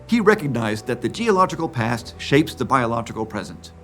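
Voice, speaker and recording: Male narrator, fifties, scripted documentary read, animated intonation, light music bed. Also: mid-range voice, brisk. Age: 50s. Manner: clear, explanatory. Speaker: science video narrator.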